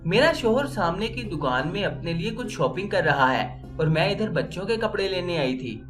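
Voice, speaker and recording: A woman's voice: lowish voice, animated, normal speed, music in the background, close to an everyday voice. A woman storyteller voicing a desperate, lovelorn young mother who pleads urgently and insistently in a dramatic story.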